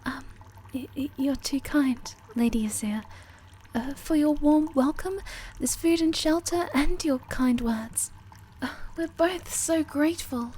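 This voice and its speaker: A woman's voice: high voice, unhurried, warm tone, slight background noise. A woman playing a sweet, timid moth girl in an ASMR roleplay, speaking politely and nervously with a tendency to stammer. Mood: shy, flustered.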